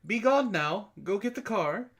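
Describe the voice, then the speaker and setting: A man with a husky voice reading a story dramatically and breaking in with sarcastic, exasperated commentary. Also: high-pitched, expressive, a little slow, fairly bright tone, a little hiss or hum. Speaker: book club reader and commentator.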